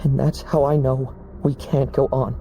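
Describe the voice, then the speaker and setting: An actor playing a hardened, cynical knight who lectures bluntly in a game cutscene. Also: male voice, mid-range voice, level tone, normal speed, gravelly, mellow, faint music behind, close to an everyday voice. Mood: cold rebuke.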